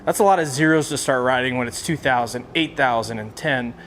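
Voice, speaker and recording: A man's voice: mid-range voice, a little fast, fairly bright tone, slight background noise. A man giving a casual instructional explanation in a plain-spoken, conversational way, as a presenter teaching practical tips.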